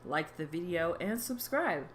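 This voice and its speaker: A woman's voice: fairly low pitch, expressive, unhurried, slight background noise. A woman in her thirties chatting casually as she wraps up a let's-play video, rambling with frequent fillers. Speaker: gaming video creator. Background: faint game music.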